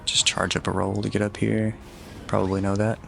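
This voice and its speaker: A young man giving relaxed, instructive commentary over a game walkthrough, easygoing and a little self-deprecating. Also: fairly low pitch, a little fast, creaky, soft background music. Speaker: gameplay guide commentator.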